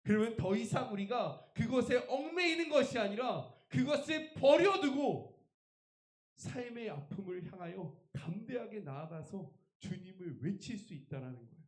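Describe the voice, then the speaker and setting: A man's voice: high-pitched, lively intonation, moderate pace, reverberant. A man preaching a revival sermon as a pastor, emphatic and putting rhetorical questions to his congregation. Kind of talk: Korean church revival sermon.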